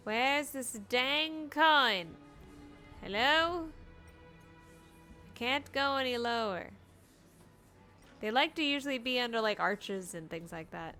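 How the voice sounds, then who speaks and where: Bright-voiced woman streaming a game. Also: fairly high pitch, slow, pauses often, soft background music. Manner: expressive, talks to herself and the game. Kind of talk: casual live gameplay commentary.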